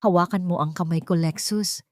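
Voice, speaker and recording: A woman's voice: on the low side, steady tone, normal speed, no room sound, clean studio sound, close to an everyday voice. A woman voicing a protective, determined mother in a Tagalog radio-style melodrama. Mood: steady. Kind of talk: Tagalog radio drama storytelling.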